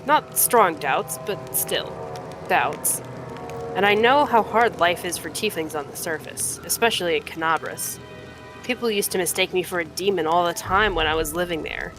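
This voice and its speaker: A woman's voice: lowish voice, normal speed, music in the background, lightly acted. Woman reading as an earnest horned cave fighter. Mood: earnest, apologetic. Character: earnest young horned mongrel man, frank, wry cave-dwelling fighter.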